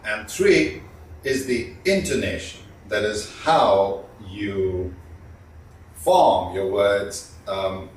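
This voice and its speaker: A man giving an instructional lecture as a trainer, speaking deliberately and emphatically with pauses between points. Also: mid-range voice. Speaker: communication trainer. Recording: roomy, distant mic, faint background noise.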